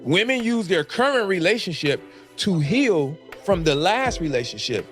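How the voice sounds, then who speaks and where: A man's voice: high voice, very animated, normal speed, a bit bright, faint music behind. A man on a podcast, making blunt sweeping claims and repeating them for emphasis. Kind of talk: podcast discussion.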